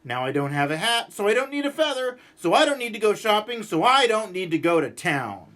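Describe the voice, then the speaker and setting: A man voicing a cranky, stubborn, exasperated man who grumbles and rants in an animated storybook read-aloud. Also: high voice, fairly quick. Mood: indignant. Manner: exasperated, ranting, emphatic.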